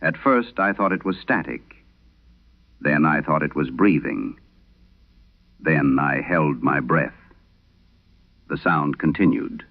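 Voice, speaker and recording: Guy playing spooky old-time radio horror host, really low creaky voice, solemn and uneasy, muffled sound. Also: full character voice. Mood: quietly ominous. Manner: solemn, deliberate, ominous. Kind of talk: vintage horror radio narration.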